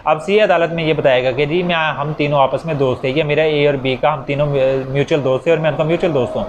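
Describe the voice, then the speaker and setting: Male law lecturer, Pakistani accent, slightly high pitch, explanatory with English legal terms, faintly noisy recording. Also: fairly even intonation. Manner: switches into English legal terms, reading statutes slowly. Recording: clear background noise.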